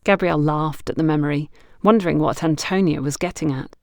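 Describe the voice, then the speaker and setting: A woman's voice: low-pitched, a little fast, dry recording, studio quality. A woman reading scripted fiction as an audiobook narrator.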